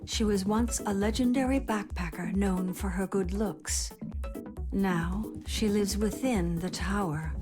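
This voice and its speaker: An actress playing an informative female game guide delivers scripted lore narration. Her voice sits at a middle pitch, with music playing clearly behind her. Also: unhurried, lightly acted.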